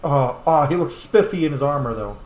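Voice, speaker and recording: A woman's voice: low-pitched, expressive, a little fast, darkish tone, a little hiss or hum. A woman giving casual commentary while she roleplays through a video game as the host. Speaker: gamer, let's play host. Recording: roomy echo, faint game music.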